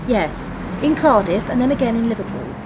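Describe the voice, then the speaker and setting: A woman's voice: fairly high pitch, animated, a little fast, very noisy, natural voice. A woman playing an earnest, inquisitive admirer with a questioning manner in a radio play. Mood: admiring. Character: eager, inquisitive female fan with a dull job. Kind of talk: radio drama dialogue.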